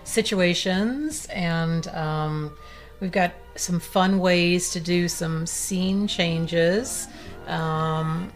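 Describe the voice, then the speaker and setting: A woman's voice: on the low side, drawn-out words, faint music behind. A woman guest from a theater company, chatting enthusiastically and searching for words. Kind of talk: casual interview chat.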